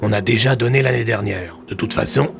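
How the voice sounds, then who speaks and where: A man's voice: lowish voice, brisk, vocal fry, over music. A man voicing a firm, courteous senior police commissioner in an audio drama, authoritative and formal.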